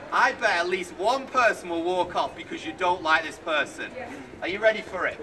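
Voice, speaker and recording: A man in his thirties with a British accent, preaching in the open air on a town pavement and quizzing passers-by directly. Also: sits high. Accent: British English. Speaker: street preacher. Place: outdoors on a town-centre pavement. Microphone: far camera. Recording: distant voice with loud street noise.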